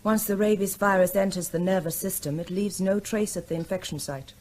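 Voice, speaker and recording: An actress playing a precise, confident doctor explaining findings in a radio drama. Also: female voice, medium pitch, fairly quick, a little mellow, slight background noise, lightly acted. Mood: clinical. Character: clinical female doctor, a pathologist.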